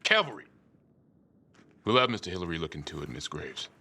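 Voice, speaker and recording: An actor playing a patient, authoritative police sergeant in a crime drama game, controlled, firm and placating. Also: male voice, deep voice, big pitch swings, a little fast, pushed and bright, slight background noise, heavily acted.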